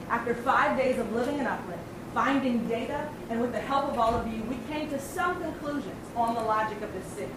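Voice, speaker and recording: Young woman presenter, slightly high, measured delivery, far-off mic in an echoey noisy hall. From Northern California. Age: young adult. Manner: measured, with some fillers. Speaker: program presenter. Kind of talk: prepared presentation to a community audience. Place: large hall with audience.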